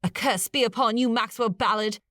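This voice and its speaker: A woman's voice: a little high, brisk, pushed and bright, no room sound, clean studio sound, voice pushed up. A woman voicing a furious, righteous colonial woman in an audiobook, commanding and emphatic as she pronounces judgment. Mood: indignant, angry.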